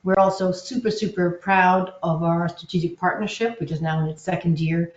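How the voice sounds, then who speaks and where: A woman's voice: on the low side, steady tone, normal speed, echoey room, highs cut off. A woman presenting a live webinar in an upbeat, enthusiastic, loosely conversational way. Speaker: webinar presenter, agency content officer.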